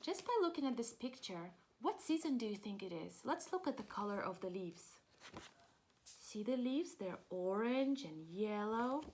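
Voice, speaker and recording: A woman preschool teacher reading a picture book aloud, encouraging the children and asking them questions with pauses for answers. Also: mid-range voice, expressive, darkish tone, slight background noise.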